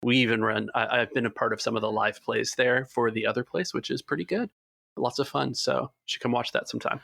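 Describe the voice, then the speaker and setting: A man with a gravelly, bright voice introduces his podcast in a steady manner. His pitch sits in the middle and the sound is dry. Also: a little fast, dry recording. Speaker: podcast game master. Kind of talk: casual podcast roundtable.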